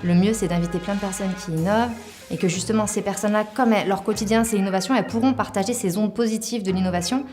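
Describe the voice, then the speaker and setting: A woman of average pitch gives an informal interview answer. Music is clearly heard behind her speech. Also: fairly even intonation, fast talker, darkish tone.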